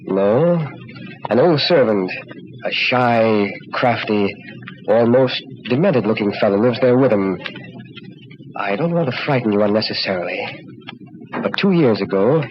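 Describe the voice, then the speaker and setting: An actor playing a grave, cautious middle-aged doctor, explaining solemnly in 1940s radio drama style. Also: male voice, fairly low pitch, expressive, unhurried, pauses often. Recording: muffled, noisy old radio recording.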